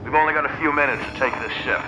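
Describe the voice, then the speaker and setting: An actor playing an urgent soldier calling out orders in a video game. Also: male voice, mid-range voice, racing, music in the background, lightly acted, rough recording. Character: urgent male combat soldier giving orders. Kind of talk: video game action acting.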